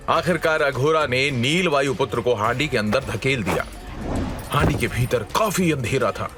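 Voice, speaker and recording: A male narrator reads a scripted drama with suspense. His medium-pitched voice moves with lively intonation, over a faint bed of music.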